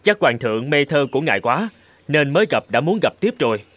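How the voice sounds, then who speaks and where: An actor playing a deferential, flattering household steward in an animated folk tale. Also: male voice, fairly high pitch, brisk, dry recording. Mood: eager, flattering.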